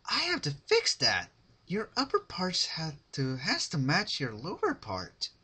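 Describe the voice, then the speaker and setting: A man's voice: fairly high pitch, wildly swooping pitch, measured pace, sharp tone, husky, pitched above the performer's own voice, heavily acted. A man voicing a manic, gleefully cruel young female cartoon pony in a story, with a gushing, sing-song mock sweetness. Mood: sweetly menacing.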